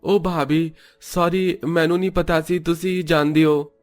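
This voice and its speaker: A man's voice: fairly high pitch, flat delivery, slow pace. Man acting a placating cartoon husband, music underneath. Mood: embarrassed, placating. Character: placating husband in a Punjabi family.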